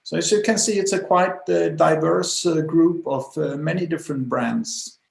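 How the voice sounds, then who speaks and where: A man's voice: fairly high pitch, normal speed, gravelly, roomy sound. A middle-aged man from Denmark, a designer, presenting slides over a video call with many hesitant fillers. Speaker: guest presenter, automotive designer.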